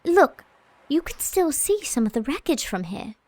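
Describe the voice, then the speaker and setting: An actress playing a blunt, lively young Englishwoman in an audio drama, talking in a playful, breathless way. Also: high voice, normal speed, no room sound. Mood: uneasy.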